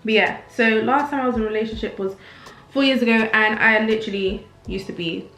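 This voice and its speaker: A woman's voice: fairly high pitch, fairly even intonation, a little fast, fairly bright tone, roomy sound, rough recording. A woman in her twenties from Nigeria with a British accent, chatting candidly while she gets ready at her mirror. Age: 20s. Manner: chatty, candid, self-mocking asides. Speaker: vlogger. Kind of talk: casual get-ready-with-me chat. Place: home room, getting ready at a mirror.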